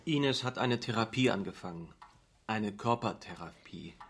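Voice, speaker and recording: An actor playing a worn-down middle-aged husband in a radio drama, restrained and placating. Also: male voice, on the low side, normal speed, a bit bright, husky. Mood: subdued, weary.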